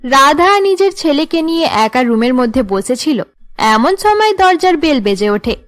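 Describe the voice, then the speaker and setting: A woman narrates a cartoon family tale. Her voice is high, and she delivers the script as a storyteller setting out each scene. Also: very animated, a little slow.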